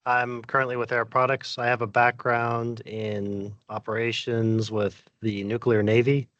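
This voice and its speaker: A man's voice: mid-range voice, little pitch movement, unhurried, fairly bright tone, dry recording. A man introducing himself briefly and plainly on a video call, heard through dull lo-fi audio. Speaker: meeting participant.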